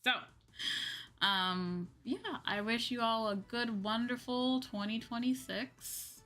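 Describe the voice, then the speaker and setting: A woman's voice: on the high side, steady tone, takes it slowly, a bit bright, soft background music. A slightly sleepy woman hosting a livestream, chatting casually and informally with her viewers. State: a little sleepy. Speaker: livestream host, VTuber.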